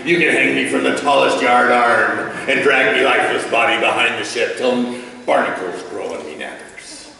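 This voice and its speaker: A salty, boastful pirate telling tall tales on stage. The voice is gravelly and punchy, drawing words out theatrically in broad pirate talk. It is captured from a distance in a very echoey hall. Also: male voice, medium pitch, sharp tone, slight background noise. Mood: boastful, dramatic. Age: middle-aged. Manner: hammy, drawn-out yarn-spinning in pirate dialect. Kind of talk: theatrical comic storytelling on stage. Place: small indoor stage before seated audience. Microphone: far camera.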